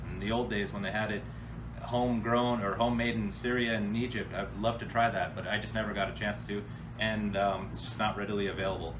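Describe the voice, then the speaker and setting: A man from the United States hosting a casual, off-the-cuff question-and-answer chat in a blunt, slangy, informal way. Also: lowish voice. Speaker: host answering viewer questions. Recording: distant mic with clear background noise.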